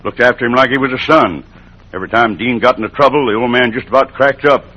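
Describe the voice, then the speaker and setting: A man's voice: medium pitch, fast, bright, forceful tone. A man playing a stern, intense westerner in American old-time radio drama. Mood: grim. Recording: dull, noisy old radio broadcast.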